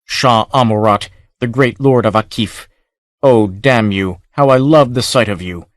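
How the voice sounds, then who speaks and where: A man voicing a wild, bloodthirsty warrior who snarls his words in slow, furious hatred. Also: mid-range voice, no echo, clean studio sound, natural voice. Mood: savage fury. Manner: snarling, furious, barbarous accent.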